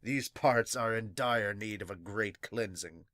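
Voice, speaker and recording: A man's voice: lowish voice, unhurried, fairly bright tone, dry recording, clean studio sound. Man playing a solemn, haughty government elder. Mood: grave, ominous. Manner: formal, grave. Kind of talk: amateur manga dub.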